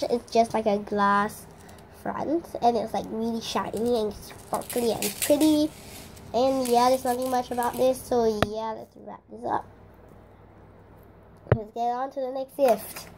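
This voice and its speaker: A teenage girl with a high, slightly gravelly, hoarse voice chats through her unboxing vlog. She rambles with many fillers and restarts. The recording was made off camera in a small room with a faint hiss. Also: darkish tone. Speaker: vlogger.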